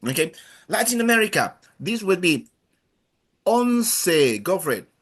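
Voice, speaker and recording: A man's voice: sits high, very animated, measured pace, fairly bright tone, husky. A man from Chile with a Chilean accent, a native Spanish speaker, teaching a language class in an encouraging, playful way with many fillers.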